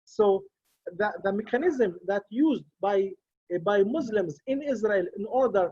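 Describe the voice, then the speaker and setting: A man whose first language is Arabic, speaking English on a video call as a guest judge, halting and full of fillers and restarts. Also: high voice, very animated, husky, no room sound. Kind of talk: Zoom talk in a second language. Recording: dull lo-fi video call audio.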